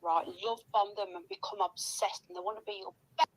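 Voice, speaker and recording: A woman's voice: medium pitch, steady tone, fairly quick, rough recording. Indignant, sweary woman. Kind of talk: casual gossipy recounting.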